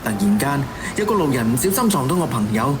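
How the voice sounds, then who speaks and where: An actor with a Hong Kong Cantonese accent playing a fanatical, cynical killer who styles himself an executioner, in theatrical, taunting radio drama monologue. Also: male voice, mid-range voice, very animated, fast talker, music in the background, close to an everyday voice. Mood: brooding, confessional.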